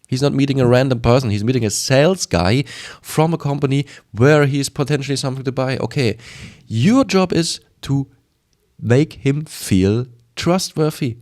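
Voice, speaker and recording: A man's voice: medium pitch, a little mellow. A man with a strong German accent giving informal sales advice to camera, emphatic and repetitive, often acting out short bits of dialogue. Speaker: sales coach presenting a video.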